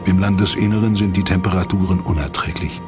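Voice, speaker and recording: Male narrator for scripted disaster documentary, very low register, music underneath. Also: quick pace.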